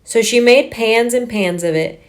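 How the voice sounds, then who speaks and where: A woman narrating a children's storybook aloud in an expressive, scripted read-aloud style. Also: a little high, a little slow.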